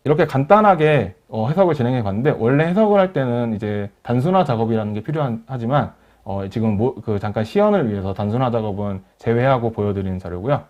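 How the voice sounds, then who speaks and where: A man narrating a software tutorial as a webinar instructor, explaining each step in a polite, formal way. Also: mid-range voice, lively intonation, quick pace, few pauses. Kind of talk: software tutorial narration over screen demo. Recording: dull lo-fi voice recording.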